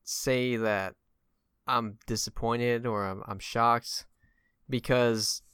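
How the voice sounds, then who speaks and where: A man's voice: mid-range voice, fairly even intonation, slow, fairly bright tone, clear, resonant tone, no room sound, studio quality. A man hosting a casual film discussion, rambling and often stammering as he works through his points. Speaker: film podcast host.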